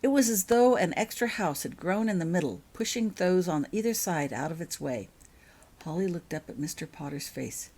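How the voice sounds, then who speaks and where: Animated, low-pitched woman narrator. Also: normal speed. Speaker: author reading own fiction. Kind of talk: expressive storybook narration.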